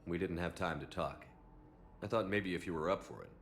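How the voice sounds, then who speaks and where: An actor playing a steady, stoic military commander in a video game, terse and earnest in his delivery. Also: sits low, a little fast. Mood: calm. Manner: terse, earnest, matter-of-fact. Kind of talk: video game cinematic dialogue acting.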